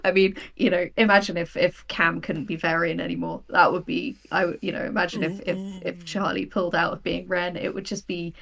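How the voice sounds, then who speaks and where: A woman's voice: fairly low pitch, level tone, a little fast, gravelly, few pauses, fairly bright tone. A woman speaking as a writer guest in a casual interview, telling stories and acting out her own thoughts in quoted asides. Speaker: guest, audio drama writer.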